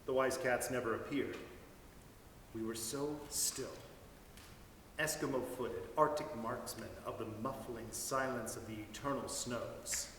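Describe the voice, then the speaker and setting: A man giving an expressive dramatic reading of a story. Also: medium pitch, unhurried, slight background noise. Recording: echoey hall, mic at a distance.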